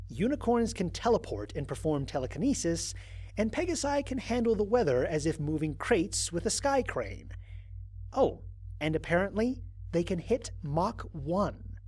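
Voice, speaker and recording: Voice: mid-range voice, slightly rough. An actor playing a wry, exasperated astronaut stranded on an alien world, recording mission logs in an audio drama with dry sarcasm. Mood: incredulous, deadpan. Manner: sardonic, dry, expressive emphasis.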